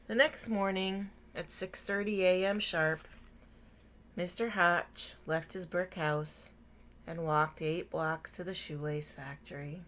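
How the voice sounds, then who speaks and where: Expressive woman reading a children's story. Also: on the low side, takes it slowly. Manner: animated, dramatic, with hushed asides to young listeners. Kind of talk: expressive picture-book read-aloud to children.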